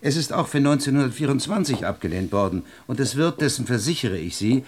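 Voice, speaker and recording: An actor playing a determined state secretary of 1920s Berlin in a German radio drama, formal and persuasive. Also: male voice, medium pitch, expressive, brisk, a little hiss or hum, natural voice. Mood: insistent, confident.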